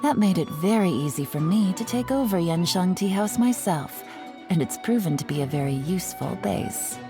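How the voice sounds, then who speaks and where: Game spymaster: low female voice, composed and shrewd, sly teasing edge, measured delivery over prominent music. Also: animated. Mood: sly, businesslike. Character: cool, shrewd, teasing female intelligence agent. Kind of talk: scripted video game character monologue.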